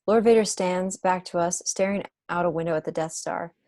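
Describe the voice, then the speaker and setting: A woman's voice: fairly low pitch, steady tone, brisk, mellow, dry recording, clean studio sound. A woman reading screenplay stage directions as scripted narration in an online table read. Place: indoors on a video call.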